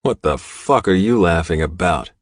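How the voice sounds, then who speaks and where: A man's voice: low voice, lively intonation, moderate pace, no room sound, studio quality. A man voicing a tough, hot-tempered, protective male biker with a blunt manner in an audiobook. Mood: angry, barking. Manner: barking, blunt.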